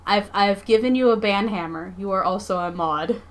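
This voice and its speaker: A woman livestreamer chatting casually with her viewers in a playful, expressive, giggly manner. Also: mid-range voice, moderate pace. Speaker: live streamer and comics artist.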